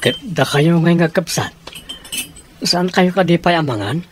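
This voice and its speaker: A man's voice: a little high, measured pace, slight background noise, close to an everyday voice. An actor with an Ilocano accent playing a worried, caring middle-aged father in a radio drama. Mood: fatherly, worried.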